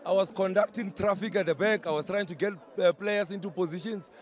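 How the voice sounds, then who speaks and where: A hoarse footballer giving a proud post-match interview pitchside outdoors, mixing English and Zulu. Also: male voice, a little fast. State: hoarse, lost voice. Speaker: footballer, defender.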